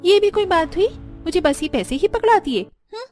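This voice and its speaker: A woman's voice: extremely high pitch, expressive, normal speed, a little mellow, faint music behind. A woman voicing a spoiled, vain young daughter-in-law in a cartoon, whiny and self-important. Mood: annoyed. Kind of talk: Hindi cartoon voice acting.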